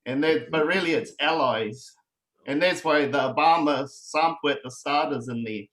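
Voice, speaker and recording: A man in his thirties with a New Zealand accent, chatting casually as a guest about making beats, relaxed and rambling with many fillers. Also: fairly high pitch, normal speed, rough recording. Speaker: beatmaker guest. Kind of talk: casual online chat.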